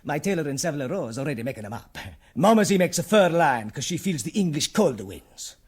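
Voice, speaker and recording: A man's voice: a little high, very animated, quick pace, husky. A man playing a bossy, hot-tempered Italian crime boss with an Italian accent and broken English in a comic radio play. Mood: bossy, menacing. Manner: broken English, bullying, blustering.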